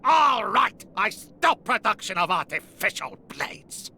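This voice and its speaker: An actor voicing a greedy, scheming little furry merchant villain in a game cutscene, blustering in broken grammar with a raspy voice. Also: medium pitch, very animated, slow pace, sharp tone, exaggerated voice. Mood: grudging. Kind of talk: video game cutscene acting.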